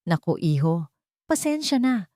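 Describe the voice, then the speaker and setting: A kindly older eatery keeper, voiced by a woman storyteller, speaks in a protective, motherly way. Her pitch moves widely, and the sound is close and dry. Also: very animated, measured pace, no echo. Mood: calm, evasive. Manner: hushed, urgent when worried.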